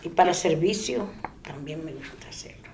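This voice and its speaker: A woman in her eighties from the Dominican Republic with a Dominican accent, giving a soft-spoken oral history interview. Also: low voice, normal speed, a little hiss or hum. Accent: Dominican Spanish. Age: 80s. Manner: soft-spoken, trailing off into quiet.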